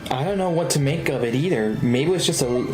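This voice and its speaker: A male game streamer with a very animated voice that swings widely in pitch. He reads dialogue aloud and drops in casual, exclaimed reactions, with game music clearly audible behind him. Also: fast talker, low-quality recording. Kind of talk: live gameplay chat, reading character dialogue aloud.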